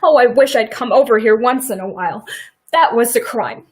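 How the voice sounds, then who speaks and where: A woman acting a plain-spoken, brooding farmer's wife in a radio play, regretful and quietly defiant, with emphatic rural phrasing. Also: a little high, moderate pace, close to an everyday voice. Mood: regretful, bitter.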